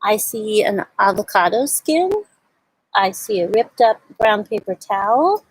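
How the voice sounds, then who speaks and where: A woman hosting a storytime for young children, explaining and reading aloud in simple words with little questions, in a slightly husky voice. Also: medium pitch, animated, measured pace, rough recording. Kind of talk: show-and-tell and read-aloud for young children.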